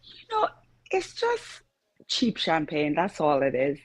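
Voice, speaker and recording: A woman's voice: deep voice, wildly swooping pitch, slow pace. A tipsy woman in her forties with a Jamaican accent, chatting casually as a caller answering questions. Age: 40s. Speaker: caller on a dating show, retail director.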